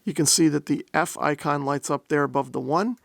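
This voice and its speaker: A man's voice: a little high, brisk, gravelly, no echo. A man narrating a product demonstration in a methodical, step-by-step explanatory way. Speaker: host of a radio gear review channel.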